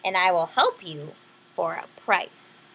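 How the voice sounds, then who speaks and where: A woman's voice: lowish voice, sing-song, takes it slowly, fairly bright tone, voice pushed down, heavily acted, low-quality recording. A woman voicing a sly little magical man from a storybook, smirking as he bargains.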